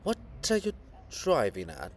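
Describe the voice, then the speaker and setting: A man's voice: medium pitch, wildly swooping pitch, a little slow, a little hiss or hum. A man voicing a proud, cold princess monument, guarded and grandiose, in a solemn, declamatory dramatic reading. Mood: reserved, stern.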